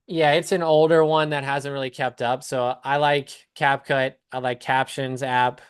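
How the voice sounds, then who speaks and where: A man's voice: medium pitch, little pitch movement, normal speed, clean tone, no room sound, studio quality. A man talking casually as a coach on a live call, explaining things step by step with examples. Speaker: business coach hosting a client call.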